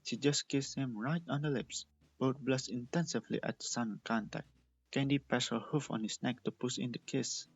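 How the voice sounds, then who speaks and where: A man reading a fan fiction story aloud as an expressive narrator. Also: mid-range voice, normal speed, husky. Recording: dull lo-fi close recording.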